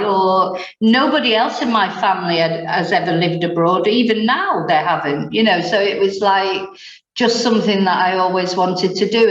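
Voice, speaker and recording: A woman's voice: medium pitch, roomy sound, dull audio. A woman in her forties from Manchester with a Mancunian accent, a language teacher telling her story as a guest in a casual interview, rambling with fillers and small chuckles. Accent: Mancunian English. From England.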